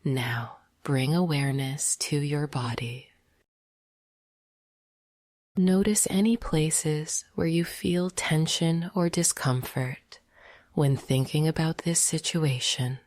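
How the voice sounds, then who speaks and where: Woman, meditation guide, low voice, slow, breathy near-whisper, gentle, dry close sound. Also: steady tone, no room sound. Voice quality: soft, breathy, half-whispered.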